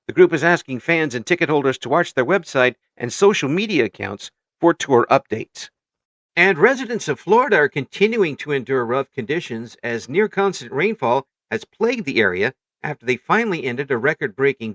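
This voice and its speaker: A man playing a radio network news anchor, reading a scripted newscast in a formal, measured announcer's delivery. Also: mid-range voice, expressive, fairly bright tone, dry recording, close to an everyday voice.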